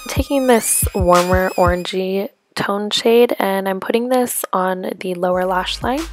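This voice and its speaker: A young woman narrating a makeup tutorial in voice-over, relaxed and step by step with some ums, her voice a little off from being sick. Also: mid-range voice, a little slow. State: sick, voice a bit off. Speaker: beauty vlogger. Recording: voice-over with music underneath. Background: music.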